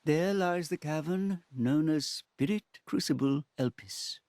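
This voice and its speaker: An actor playing a solemn, wise old village guardian in a video game cutscene, with a husky voice. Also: male voice, on the high side, unhurried, darkish tone, no room sound, clean studio sound. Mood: grave.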